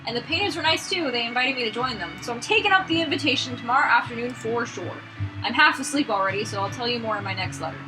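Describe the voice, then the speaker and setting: Woman playing young male painter, visual novel hero, earnest, eager, fairly high voice, music behind. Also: quick pace, lightly acted, poor audio. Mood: excited.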